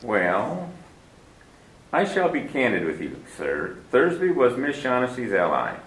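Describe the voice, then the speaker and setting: An actor playing a genteel older crime boss in a 1940s-style radio play, pompous, courtly and chuckling. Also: male voice, medium pitch, wildly swooping pitch, measured pace, a bit bright, reverberant, distant mic, rough recording. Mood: candid.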